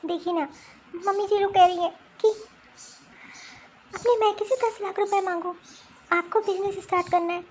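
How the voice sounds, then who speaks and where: Wronged cartoon daughter-in-law, played by a woman: high-pitched, pleading yet firm, defending herself. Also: steady tone, moderate pace, pauses often, slight background noise. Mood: hurt pleading. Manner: pleading, protesting, explanatory. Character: wronged young wife, honest, firmly defending herself. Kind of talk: Hindi cartoon family drama acting.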